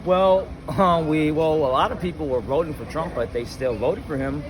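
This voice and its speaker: Higher-voiced hesitant man in street noise. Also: moderate pace. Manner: hesitant, repeats questions before answering. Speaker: interviewee, music fan at a show. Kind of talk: casual chat. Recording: noisy outdoor urban street with traffic.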